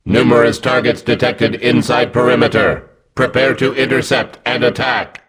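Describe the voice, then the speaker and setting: A man voicing a hulking combat robot in a video game, gruff and mechanical, giving clipped, vengeful commands. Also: medium pitch, steady tone, moderate pace, a bit bright. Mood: cold, commanding.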